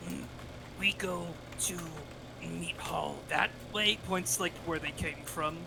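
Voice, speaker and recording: Higher-voiced man, clearly noisy recording. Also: slow. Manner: loose, sweary, filler-heavy. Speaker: tabletop game player. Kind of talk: casual improvised game chat.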